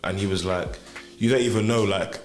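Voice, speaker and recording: A man's voice: on the low side, normal speed. A young man hosting a radio show, hyped and slangy, repeating words for emphasis. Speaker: radio host. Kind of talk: casual interview chat. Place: radio studio. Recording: echoey studio room.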